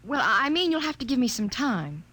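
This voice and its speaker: A woman's voice: high voice, sing-song, a little fast, pushed and bright, full character voice. An actress playing a poised but nervous young woman geneticist in a 1950s science fiction radio drama. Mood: breathless, flustered. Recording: 1950 radio broadcast recording.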